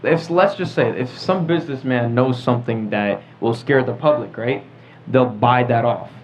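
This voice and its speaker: A man's voice: medium pitch, normal speed. A teenage male podcast host leading a casual group discussion, often checking in with "right?" as he explains and prompts. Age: teens. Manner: prompting with frequent "right?" tags. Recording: dull lo-fi audio, background noise.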